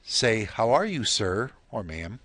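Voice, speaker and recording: A man's voice: on the low side, very animated, takes it slowly, fairly bright tone, slightly rough, no room sound, studio quality. A man teaching a recorded language lesson, patient and explanatory, prompting the listener to repeat.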